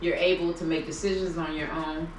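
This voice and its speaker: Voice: medium pitch, little pitch movement, brisk, slight background noise. An interviewer who asks probing questions and affirms the guest's answers. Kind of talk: interview conversation. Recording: echoey room, mic at a distance.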